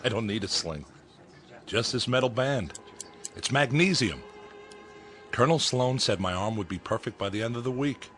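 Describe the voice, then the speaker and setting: A man's voice: mid-range voice, lively intonation, normal speed, long pauses, a bit bright, lightly acted. An actor playing a confident, plainspoken male colonist in a radio drama, earnest and insistent. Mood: proud, earnest. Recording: radio drama with faint music behind.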